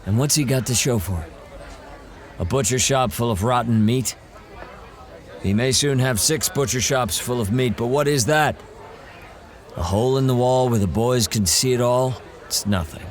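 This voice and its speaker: An actor playing a wry, self-assured monster hunter in an audio drama, calm and measured. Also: male voice, mid-range voice, some chatter behind, close to an everyday voice.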